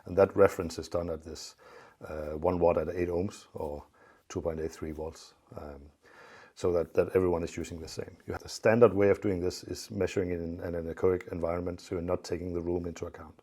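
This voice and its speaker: A man giving an unscripted technical explanation as an expert, in a measured, matter-of-fact way with some hesitation. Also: sits low, level tone, warm tone, slightly rough. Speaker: loudspeaker company expert.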